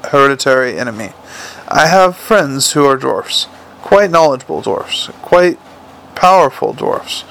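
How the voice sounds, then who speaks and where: A man reading aloud, voicing a clever, cultured male troll king who speaks quietly with a teacherly firmness. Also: a little high, vocal fry, heavily acted, poor audio. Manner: measured, softly commanding, teacherly.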